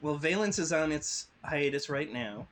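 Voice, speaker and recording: A man who works as a voice actor, chatting casually about his projects in a halting, word-searching way. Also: fairly high pitch, expressive, fairly bright tone. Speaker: voice actor, guest on a panel. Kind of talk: casual chat, plugging projects.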